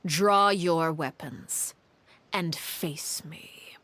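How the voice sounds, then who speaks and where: Cold, commanding house matriarch. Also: female voice, sits low, dry recording, full character voice. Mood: cold, stern. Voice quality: calm, measured, commanding. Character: cold stern female head of an orphan house. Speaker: the Father. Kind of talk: game voice acting.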